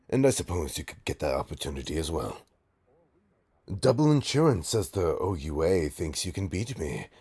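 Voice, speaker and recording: A man playing a bitter, taunting supervillain in an audio roleplay, with a raspy, gravelly voice and a sardonic, theatrical, halting delivery. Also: low voice, darkish tone, exaggerated voice. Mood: smug, taunting. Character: menacing, taunting male supervillain, bitter, grudgingly rehabilitating. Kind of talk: scripted audio roleplay drama.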